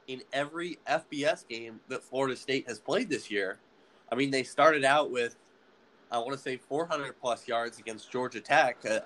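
A man's voice: mid-range voice, fairly bright tone, poor audio. Young male student podcast host, loose hesitant sports talk, ordinary mid-range voice. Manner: halting, many fillers and restarts. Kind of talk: casual sports podcast chat.

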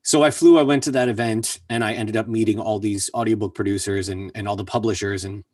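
A man with a somewhat low, husky voice shares his career story in an earnest, energetic way and often repeats words for emphasis. The recording is dry and picks him up from a little way off the mic. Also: quick pace, no room sound. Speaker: voice actor guest. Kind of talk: casual interview storytelling. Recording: distant mic, dry sound.

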